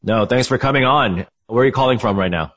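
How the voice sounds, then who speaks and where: A man's voice: mid-range voice, fast talker, fairly bright tone. A man hosting a business podcast, reading a scripted intro and then asking interview questions in an upbeat way. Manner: upbeat, repeats "awesome". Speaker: podcast host. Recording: dull lo-fi audio.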